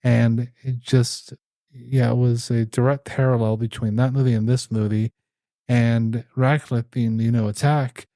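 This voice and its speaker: Mellow man hosting a podcast chat. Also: mid-range voice, measured pace, no echo. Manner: relaxed, steers conversation to next topic. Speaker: podcast host. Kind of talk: casual podcast discussion.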